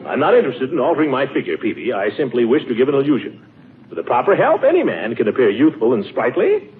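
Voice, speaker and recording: Scratchy 1940s radio comedy: pompous middle-aged bachelor uncle, vain and lovestruck, swooping delivery. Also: male voice, medium pitch, wildly swooping pitch, fast. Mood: testy, exasperated. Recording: scratchy, narrow-band 1940s radio recording.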